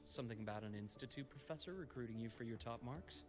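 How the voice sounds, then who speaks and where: An actor playing a calm, caring male doctor with a measured, reassuring way of speaking. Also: medium pitch, lightly acted. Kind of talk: game voice acting. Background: game music.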